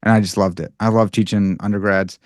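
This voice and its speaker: A man's voice: fairly low pitch, fairly quick, dry recording, studio quality. A man in his thirties with a nasal Chicago accent, talking casually and animatedly as a podcast guest. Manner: animated, bro-ish, self-deprecating. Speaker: podcast guest, philosopher and writer. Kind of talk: casual conversation.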